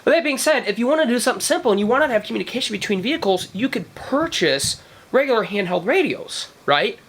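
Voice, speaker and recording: A man's voice: very high voice, big pitch swings, quick pace, a bit bright, slightly rough. A man talking informally as a vlogger explaining gear, rambling along and often checking in with "right?" or "okay?". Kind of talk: informal explainer talk to camera.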